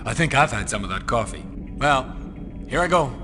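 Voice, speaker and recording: An actor playing a self-assured secret agent hero in a video game, delivering dry, quipping scripted lines. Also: male voice, medium pitch, lively intonation, moderate pace, over music, natural voice. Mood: wry, relaxed.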